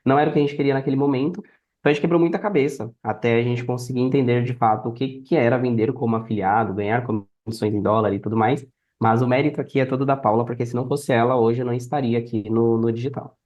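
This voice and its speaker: Very mellow-voiced Brazilian man. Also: mid-range voice, fairly even intonation, fast talker, muffled recording. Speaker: podcast guest. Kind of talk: casual personal storytelling in conversation.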